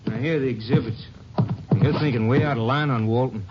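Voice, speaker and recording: A man's voice: medium pitch, a little fast, loud music behind the voice, close to an everyday voice. An actor playing a crooked, evasive small-town Texas lawman, folksy and guarded, in 1950s radio drama style. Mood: defensive. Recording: dull, hissy old radio recording.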